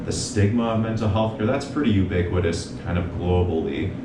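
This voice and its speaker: A young man, a mental health provider, telling his story in an earnest, measured way as an interview guest. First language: English. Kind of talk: reflective interview talk. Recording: echoey room, distant mic, noisy rumble.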